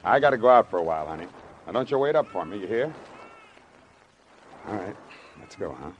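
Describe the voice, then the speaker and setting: An actor in an old-time radio drama playing a weary, earnest former boxer who speaks plainly in short, halting sentences. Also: male voice, lowish voice, animated, highs cut off, close to an everyday voice. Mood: hushed urgency. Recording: noisy vintage radio broadcast recording.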